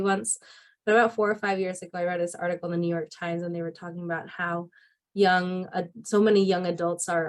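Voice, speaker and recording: A woman in her thirties from Argentina, talking as a guest on a panel, reflective and full of fillers and restarts. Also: mid-range voice. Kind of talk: casual panel talk.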